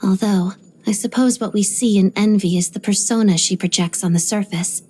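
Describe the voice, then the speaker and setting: Casting: woman to play a stoic young female ballerina for a video game; soft, husky-breathy voice, restrained and precise, introspective monologues. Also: medium pitch, close to an everyday voice, low-quality recording. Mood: solemn, reverent. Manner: measured, restrained, articulate. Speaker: video game character voice actor.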